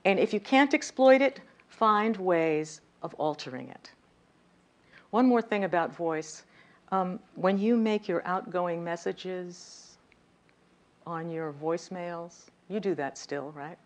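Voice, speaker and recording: A woman with a slightly high voice giving a lively lecture on communication, often turning to the audience with questions. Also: slow pace. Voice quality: voice a little high, by her own account. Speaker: communication workshop speaker. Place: lecture room.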